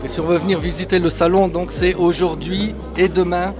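A man's voice: high-pitched, steady tone, fairly quick. A man in his thirties conducting a casual radio interview on location in a busy event hall. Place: busy indoor event hall with bar counter. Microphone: microphone held between interviewer and guest. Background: music and crowd chatter.

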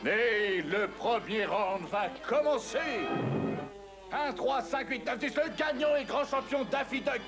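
A man's voice: very high voice, slow pace, heavily acted. A man voicing a big pompous duck who referees and announces boxing in a cartoon, with grandiose delivery. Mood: announcing. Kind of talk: ring announcing in French-dubbed cartoon. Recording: old cartoon soundtrack with music.

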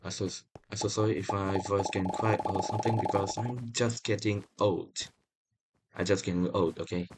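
A young man casually commenting on his own gameplay, excitable and talking to viewers, often repeating himself. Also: on the low side, moderate pace. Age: young adult. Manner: excitable, talks to viewers while playing, repeats phrases. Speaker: gaming channel host. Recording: loud background noise.

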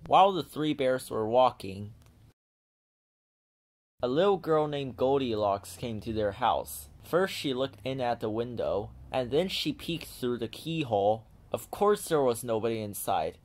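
A man narrating a children's storybook in an animated read-aloud style. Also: medium pitch, unhurried.

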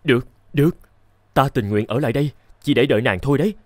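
An actor playing a naive, smitten young scholar in an animated fairy tale, earnest and theatrical. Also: male voice, mid-range voice, fast, dry recording, clean studio sound, natural voice. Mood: lovestruck.

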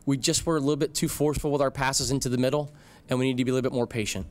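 Man, middle-aged, head coach, brisk pace, podium microphone, reflective. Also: medium pitch, fairly even intonation. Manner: measured, reflective, frequent fillers. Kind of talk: postgame press conference. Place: press room at a podium.

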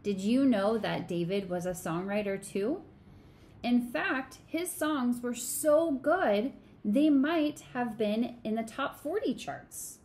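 Woman reading a children's story gently. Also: fairly high pitch, slow, a little hiss or hum. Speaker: children's sermon co-leader. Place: small home room.

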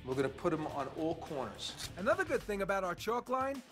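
A man hosting a home renovation show, with brisk, punchy, salesy narration and chat. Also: high-pitched, sing-song, fairly bright tone, soft background music. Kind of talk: upbeat TV host narration and chat.

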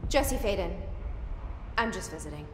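An actress playing a guarded young woman in a video game, half her lines a hushed inner monologue. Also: on the low side, expressive, normal speed, noisy background, lightly acted. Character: guarded, wry young woman, the new director. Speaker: game protagonist.

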